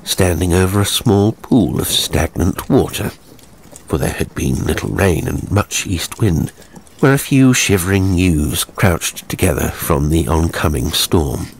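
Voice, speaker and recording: A man narrating a scripted horror story in a measured, theatrical way. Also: low-pitched, animated, creaky, a little hiss or hum, rough recording.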